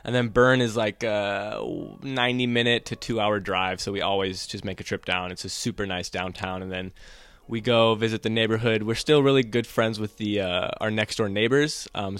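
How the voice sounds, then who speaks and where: A man's voice: lowish voice, a bit bright, no room sound. A young man from the United States, a guest on a podcast, talking in an easygoing way with lots of fillers. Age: young adult. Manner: easygoing, filler-heavy talk. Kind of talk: casual interview.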